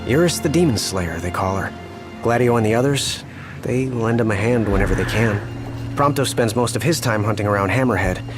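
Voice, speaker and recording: A man's voice: fairly low pitch, expressive, a little fast, close to an everyday voice. An actor playing an earnest young man, chatty and deferential toward his king, in a video game cutscene. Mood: fond, explanatory. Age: young adult. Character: earnest, respectful young driver loyal to a returning king. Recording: music under studio dialogue. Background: game music.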